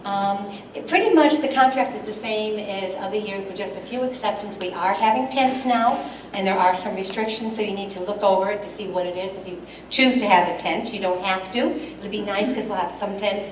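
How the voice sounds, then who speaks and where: A woman heading an event committee, loudly and chattily explaining rules at a club meeting. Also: mid-range voice, brisk, slight background noise. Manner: chatty, explains rules, loud without a microphone. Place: meeting hall. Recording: echoey hall, voice from far off.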